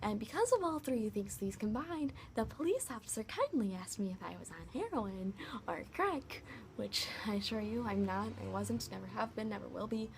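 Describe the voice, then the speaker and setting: A young woman vlogger with a high-pitched voice, casually retelling a story to camera, full of "like" fillers. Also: normal speed, vocal fry, a little hiss or hum. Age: young adult. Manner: chatty, many "like" fillers, animated retelling.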